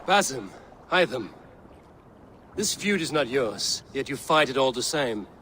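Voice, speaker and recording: An actor playing a proud young Viking warrior bent on restoring honor, solemn and resolute, in a video game. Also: male voice, medium pitch, lively intonation, a little slow, sharp tone, a little hiss or hum. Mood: firm, questioning. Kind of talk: dramatic video game acting.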